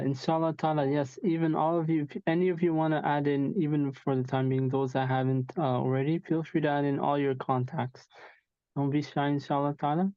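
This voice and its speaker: Male host of a video-call town hall, measured, dark tone, dry and lo-fi sound. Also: on the high side, clear, resonant tone. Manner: measured, peppered with religious phrases. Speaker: host and founder. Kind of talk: moderating an online town hall. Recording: dull lo-fi call audio.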